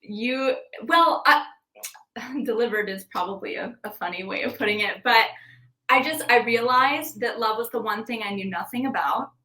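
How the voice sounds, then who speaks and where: A woman's voice: fairly high pitch, normal speed, sharp tone. Bubbly, giggly woman in an echoey room. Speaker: podcast guest, singer. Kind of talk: casual interview chat.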